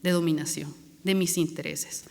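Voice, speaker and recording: A woman's voice: low-pitched, fairly even intonation, gravelly, dark tone. Guatemalan woman with a cold, echoey hall. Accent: Guatemalan Spanish. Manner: earnest, grateful, measured. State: has a bad cold. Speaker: NGO project director. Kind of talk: conference panel talk.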